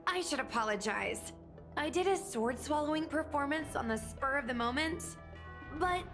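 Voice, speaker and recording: An actress playing a cheerful young street performer who talks in a bubbly, eager, expressive way. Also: female voice, sits high, exaggerated voice. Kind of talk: game cutscene voice acting. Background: game score music.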